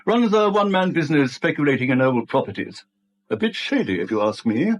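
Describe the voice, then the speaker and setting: An actor playing a testy, self-important English acting bank manager in a 1970s radio drama, brusque and huffy. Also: male voice, a little high, sing-song, a little fast. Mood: irritable. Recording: dull vintage radio recording.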